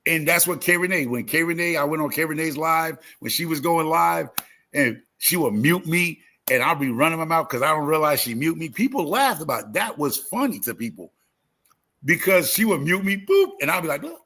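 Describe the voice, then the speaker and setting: A man's voice: a little high, big pitch swings, fairly quick, sharp tone, a little hiss or hum. A talkative man in his fifties chatting casually as a livestream host, emphatic and repeating phrases as he tells motivational stories. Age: 50s. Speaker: YouTube gardening creator and live host.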